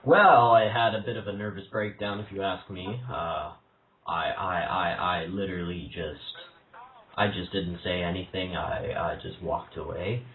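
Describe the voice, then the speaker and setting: Low-voiced young guy on a prank call, stammery, tinny phone line, echoey room. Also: steady tone, unhurried, a little hiss or hum. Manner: hesitant, stammering, mock-earnest. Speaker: prank caller. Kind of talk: prank phone call, posing as a troubled single father.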